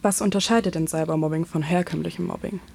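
Low gravelly young woman presenter. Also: fairly even intonation, quick pace, darkish tone. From Germany. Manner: reads from script, poses questions. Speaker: student radio presenter. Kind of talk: scripted moderation.

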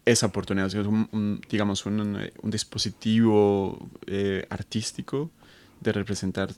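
A Colombian-born man in his thirties, a researcher answering interview questions in a thoughtful, halting way with many fillers. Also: on the low side, fairly even intonation, darkish tone. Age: 30s. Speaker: guest researcher. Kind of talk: conversational interview.

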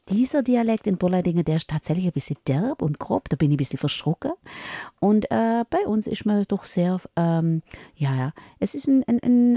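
A woman's voice: fairly low pitch, warm tone, no echo, clean studio sound. A middle-aged woman from Upper Swabia with an Upper Swabian accent, chatting as an interview guest and hesitating with many fillers. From Upper Swabia, Germany. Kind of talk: casual interview chat.

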